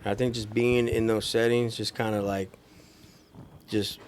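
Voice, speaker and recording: A man's voice: lowish voice, level tone, normal speed, slight background noise. A man from California in casual podcast chat as a guest, talking informally with slang and lots of "you know" and "like". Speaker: podcast guest, DJ and studio engineer. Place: small room with couches, indoors. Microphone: podcast microphone.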